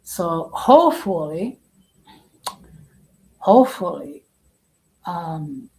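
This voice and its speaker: A woman speaks as the host of an informal talk, in a slightly low, relaxed voice. She moves very slowly and deliberately, halting often and repeating phrases to press her point. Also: low-quality recording. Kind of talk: informal opinion talk to an audience.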